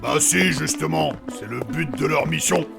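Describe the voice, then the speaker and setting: A brash male fantasy adventurer, played by an actor, with a creaky, gravelly edge. He is sardonic and argumentative, always up for a fight, in a comic audio drama with clear music behind him. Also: on the high side, moderate pace. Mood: defiant. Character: Kevin, brash, mocking, trigger-happy male adventurer. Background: background music.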